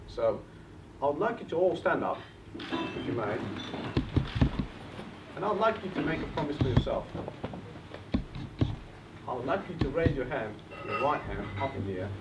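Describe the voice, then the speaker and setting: A man in his thirties from Bulgaria with a Bulgarian accent, giving an earnest motivational talk at a property seminar. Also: mid-range voice. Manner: earnest, rallies the crowd. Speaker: property investment speaker. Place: event hall. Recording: roomy, distant, dull lo-fi with faint noise.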